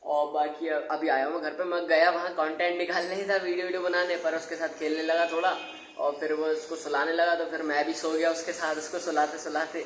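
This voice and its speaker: A man's voice: fairly high pitch, flat delivery, brisk, fairly bright tone, echoey room, slight background noise. A young man chatting casually and informally to viewers as a vlogger. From India. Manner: informal, chatty, often addressing viewers as brother. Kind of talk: casual chat to camera.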